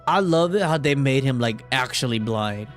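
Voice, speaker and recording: Man, mid-pitched voice, casual reaction commentary, slangy and sweary, laid-back, game music behind. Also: lively intonation, normal speed. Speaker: gaming streamer.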